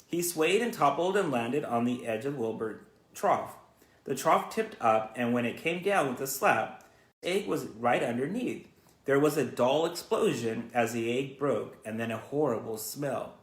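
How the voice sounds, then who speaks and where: A man reads a children's storybook aloud in a roomy, echoing space. His pitch moves in a lively way, and his delivery halts now and then as he repeats words. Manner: halting, stumbles and repeats words. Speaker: school educator reading to students. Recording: roomy, echoey sound.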